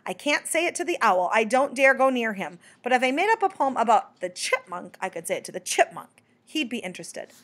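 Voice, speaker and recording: A woman's voice: a little high, very animated, fairly quick, a bit bright. A woman voicing a shy, earnest little male bat poet in a storybook, with a timid, hesitant manner. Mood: excited.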